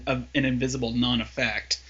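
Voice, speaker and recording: A man co-hosting a podcast, chatting casually in a measured way and asking questions to steer the talk. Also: medium pitch, level tone, pushed and bright, a little hiss or hum. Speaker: podcast host. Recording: dull lo-fi podcast audio.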